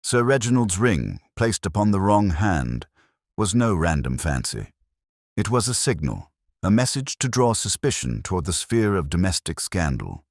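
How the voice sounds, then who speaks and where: Man voicing very deep, theatrical Victorian detective. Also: big pitch swings, moderate pace, no echo, clean studio sound, full character voice. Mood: composed, lecturing. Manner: theatrical, precise, declamatory. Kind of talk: audiobook detective story dialogue.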